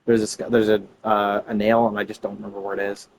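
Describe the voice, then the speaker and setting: A man's voice: on the low side, flat delivery, fast talker, crowd noise. Rambling, sweary male game streamer. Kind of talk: casual playthrough commentary. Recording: faint background game noise.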